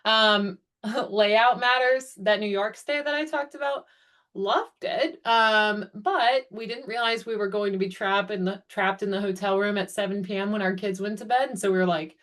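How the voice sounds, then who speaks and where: A woman's voice: mid-range voice, normal speed, a bit bright. A woman in her thirties giving an upbeat, chatty presentation over a video call, with frequent ums. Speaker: guest speaker, travel company founder. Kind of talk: webinar presentation.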